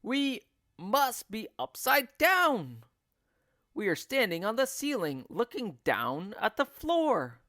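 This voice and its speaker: A man's voice: high-pitched, very animated, takes it slowly, sharp tone, dry recording, clean studio sound, voice pushed up, exaggerated voice. A man voicing a grumpy, nasty husband from a children's storybook, blustering and indignant. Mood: alarmed.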